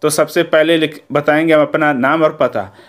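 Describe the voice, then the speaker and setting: Man, Indian accent, fairly high pitch, teacher, instructional delivery, methodical, step-by-step explanations. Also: steady tone, moderate pace. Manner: methodical, checks in with "okay" after points. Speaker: Hindi teacher.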